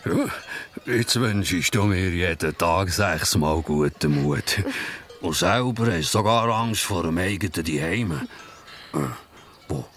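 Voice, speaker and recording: A man's voice: low-pitched, takes it slowly, a bit bright. A man playing a placid, wise old farm dog with a Swiss German accent, speaking hesitantly and sparingly in a children's radio play. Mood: gently reproachful.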